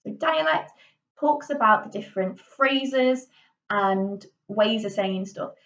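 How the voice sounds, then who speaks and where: A woman's voice: medium pitch, expressive, takes it slowly, fairly bright tone, far from the mic, highs cut off. A woman with a Yorkshire accent giving a casual drama lesson, chatty and full of ums and little restarts. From Yorkshire, England. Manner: chatty, with many ums and self-corrections. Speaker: drama teacher.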